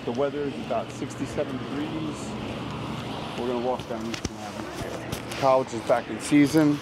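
Slightly raspy American man over street noise. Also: medium pitch, fairly even intonation, normal speed. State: getting over a sickness. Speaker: vlogger hosting a walking tour. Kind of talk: casual rambling vlog chat. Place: outdoors on a city street. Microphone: handheld microphone. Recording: noisy outdoor street ambience.

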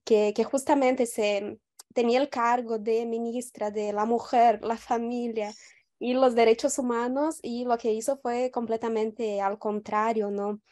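Brazilian-accented woman, hesitant, mellow. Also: a little high, fairly even intonation, unhurried. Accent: Brazilian Portuguese. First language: Portuguese. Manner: hesitant, many restarts and fillers. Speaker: audience member. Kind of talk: academic discussion on video call. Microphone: video call.

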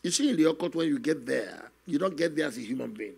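An older man, a guest minister telling his testimony slowly and earnestly in a studio interview, often adding "you know". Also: fairly high pitch, animated, a little mellow. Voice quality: gravelly, husky. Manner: slow, earnest storytelling with many "you know" asides. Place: television studio, seated on a set. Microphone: stand microphone in front of him.

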